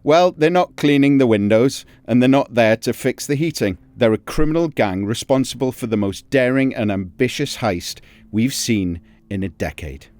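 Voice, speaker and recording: A man's voice: mid-range voice, lively intonation, moderate pace, few pauses, darkish tone, no echo. A man with a Geordie accent, a crime journalist hosting a podcast, telling the story dramatically and chatting with jokes. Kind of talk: scripted narration then casual chat.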